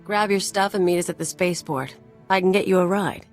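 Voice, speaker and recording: A woman's voice: fairly low pitch, a little fast, lightly acted. An actress voicing a cynical, streetwise mercenary woman in a video game, flippant and taunting in clipped, slangy phrases. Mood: brisk. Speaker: companion character in a video game. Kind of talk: video game voice acting, casual dialogue. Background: music.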